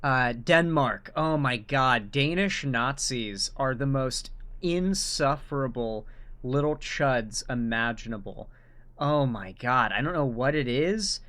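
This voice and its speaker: A man in the host's seat of a reaction show, delivering an emphatic and mocking rant. His voice sits at an ordinary middle pitch. He presses hard on his points as he goes. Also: lively intonation, slow, a bit bright. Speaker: commentary host. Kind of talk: reaction commentary.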